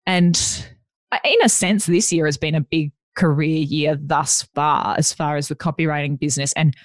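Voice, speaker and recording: A woman with a deep voice, chatting as a podcast guest and telling self-deprecating stories. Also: steady tone, moderate pace, no echo, studio quality. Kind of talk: casual chat.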